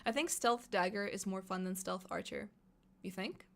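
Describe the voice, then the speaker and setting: A young woman, a native Russian speaker, chatting excitedly on a live stream and reading out chat questions. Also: medium pitch, normal speed. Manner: chatty, excitable, thinks aloud with fillers.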